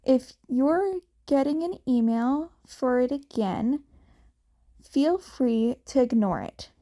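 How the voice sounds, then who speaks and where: A woman's voice: sits high, animated, slow pace, dark tone. A woman reading a fan fiction story aloud as scripted audiobook narration. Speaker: podfic narrator.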